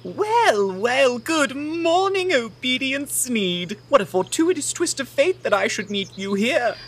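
Audio comedy: woman plays self-important Puritan bachelor, high-pitched, animated, ornate and patronising. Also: takes it slowly, husky, heavily acted. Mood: courting. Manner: flowery, pompous. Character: pompous young Puritan male suitor, condescending. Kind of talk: comedic audio theater acting.

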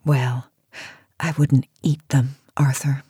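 An actress playing an imperious, driven, aging cosmetics tycoon in a campy audio drama, with a commanding, clipped manner. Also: female voice, a bass voice, level tone, slow, mellow, breathy edge, no room sound, studio quality, exaggerated voice. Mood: weary, determined.

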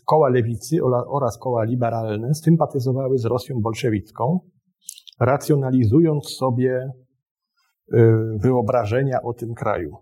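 A man's voice: medium pitch, normal speed, dark tone, slightly rough. A man speaking as a guest historian, explaining in formal but hesitant phrases. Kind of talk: explanatory interview talk.

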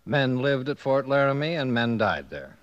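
A man narrating a 1950s radio western in a solemn, measured way. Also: medium pitch, sing-song, no echo, lo-fi sound, close to an everyday voice. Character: solemn male narrator of an Old West cavalry drama. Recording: dry, band-limited 1950s radio recording. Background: music.

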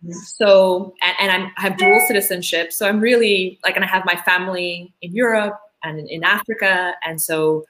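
A woman's voice: on the low side, fairly even intonation, moderate pace, few pauses, very noisy. A woman from Tanzania, a school board candidate, answering interview questions in an eager, chatty way, full of "like" and "you know".

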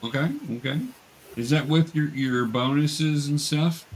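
A man's voice: fairly high pitch, steady tone, a little slow, poor audio. A man acting as game master, casually narrating and patiently explaining the game to new players in an encouraging way.

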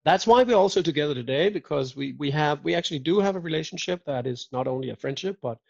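A man with a gravelly, somewhat husky voice chats loosely on a podcast. He jokes and tells stories with lively energy. The recording is dry, dull and lo-fi. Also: on the high side, fast, no echo. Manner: joking, lively, chatty storyteller. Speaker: podcast guest. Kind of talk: casual chat. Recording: dull lo-fi audio.

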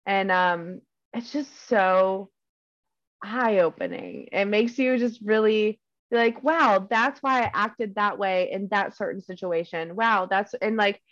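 A woman's voice: mid-range voice, takes it slowly, fairly bright tone, no room sound. A young woman talks with earnest enthusiasm in a casual podcast chat, full of "um"s and "like"s. Her voice sounds dry and close, a little dull and lo-fi.

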